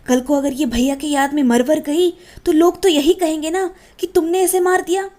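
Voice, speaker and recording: A woman's voice: sits high, steady tone, normal speed, warm tone, natural voice. A woman playing a sly, scheming sister-in-law. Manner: sly, persuasive.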